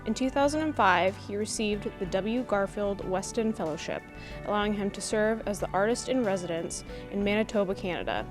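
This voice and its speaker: A woman's voice: mid-range voice, expressive, a little fast. Woman reading announcements over music. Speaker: campus TV program announcer. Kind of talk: scripted announcement narration.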